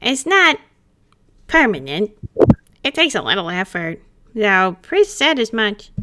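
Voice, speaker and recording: A woman's voice: mid-range voice, sing-song, takes it slowly, pushed and bright, heavily acted. A woman voicing a small, grumpy, mischievous male pumpkin spirit who grumbles and pouts in broken phrases in an amateur roleplay reading. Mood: grumbling, pouty. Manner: pouty grumbling, repeats himself in broken phrases.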